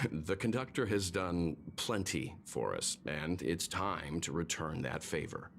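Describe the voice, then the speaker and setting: A man voicing a genial, wise, mature mentor to travellers in a video game, measured and avuncular over music. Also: sits low, lively intonation, vocal fry, fairly bright tone, full character voice. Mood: genial advice. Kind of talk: video game character acting.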